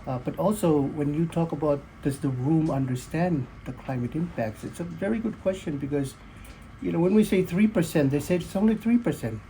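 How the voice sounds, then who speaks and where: A man's voice: on the high side, a little fast, dark tone, slight background noise, low-quality recording. A man from the Pacific Islands speaking earnestly and emphatically in an interview.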